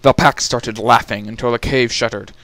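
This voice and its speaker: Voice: medium pitch, fairly even intonation, normal speed, fairly bright tone, dry recording. A narrator giving a dramatic reading of a story, telling it in scripted prose.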